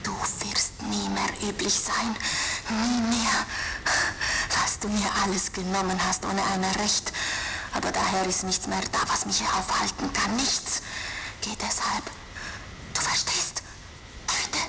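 Through clear background noise, as on a tape, an embittered woman speaks. She is slow, insistent and menacing, in breathy, halting short phrases. It is a fearless, wounded radio-drama monologue. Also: medium pitch, little pitch movement. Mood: urgent, commanding. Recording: noisy taped voice with ambience.

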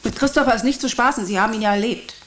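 A woman plays an evasive, dramatic actress under suspicion in a radio play. Her voice sits fairly high and is emphatic and theatrical. There is clear background noise around her. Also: racing, lightly acted. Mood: brisk, explanatory. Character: dramatic, evasive TV actress suspected of blackmail and murder. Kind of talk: German radio drama acting. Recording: noisy ambience behind the voice.

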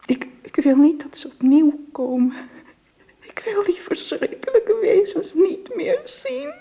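An actress playing an exhausted, frightened, clinging sick wife in a radio drama, speaking in a halting, pleading, breathy way. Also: female voice, very high-pitched, big pitch swings, lo-fi sound, heavily acted. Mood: terrified.

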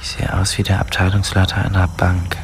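An actor playing a cocky, self-absorbed youth in a radio drama, sardonic and boastful. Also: male voice, deep voice, completely flat delivery, brisk, gravelly, slight background noise. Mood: vain. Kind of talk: radio drama acting, inner monologue and dialogue.

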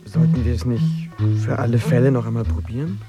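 A man's voice: medium pitch, a little fast, loud music behind the voice, close to an everyday voice. An actor playing a whining, complaining male companion in a radio drama. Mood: casual, coaxing. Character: sunburnt, complaining man, the wife's companion. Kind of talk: German radio drama acting.

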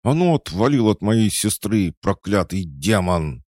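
A haughty young noblewoman, as a man acts her out, sharp and disdainful, her temper never far away. His usually very deep, gravelly voice is pushed well upward for her, still dry and close. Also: big pitch swings, slow, dry recording, exaggerated voice. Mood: furious.

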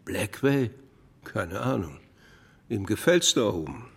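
A man's voice: fairly low pitch, big pitch swings, takes it slowly, gravelly, slightly rough, exaggerated voice. An actor playing a skeptical, matter-of-fact backwoods man in an audio drama. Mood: dismissive. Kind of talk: German audio drama acting.

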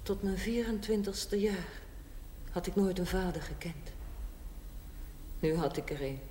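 An actress playing a worldly, unrepentant older woman in a television drama, speaking deliberately and theatrically with dry sarcasm. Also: fairly low pitch, close to an everyday voice. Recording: echoey hall with steady hiss.

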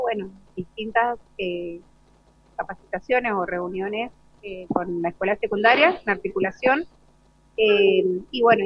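A woman answering as a school director in halting, hesitant phrases. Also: medium pitch, dry recording. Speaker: primary school director being interviewed. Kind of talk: spontaneous spoken interview. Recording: dry, dull lo-fi broadcast.

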